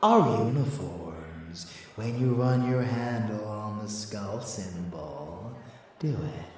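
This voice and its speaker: A man playing a rebellious, possessive and seductive vampire lover in an ASMR audio roleplay, teasing and bloodthirsty in a drawn-out, intimate delivery. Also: fairly low pitch, lively intonation. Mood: smug, conspiratorial. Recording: echoey, distant, faint background noise.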